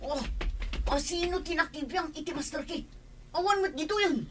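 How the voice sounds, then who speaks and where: A woman's voice: very high-pitched, normal speed, crowd noise. A woman with a Filipino accent playing an eager, fawning sidekick to a crime boss in a radio drama.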